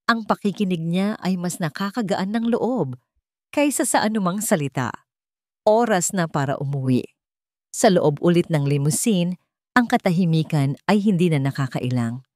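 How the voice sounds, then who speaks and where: A woman narrating a scripted romance story in a measured, dramatic way with deliberate pauses. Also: sits low, dark tone, no echo, clean studio sound.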